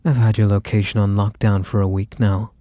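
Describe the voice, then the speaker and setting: A man's voice: on the low side, little pitch movement, dark tone, no echo, close to an everyday voice. A man playing a possessive, teasing mafia boss lover in an intimate ASMR roleplay, speaking in a mock-sweet, condescending way full of menacing affection. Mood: smooth, condescending. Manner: unhurried, mock-sweet, condescending pet names. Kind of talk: ASMR roleplay, intimate one-sided dialogue.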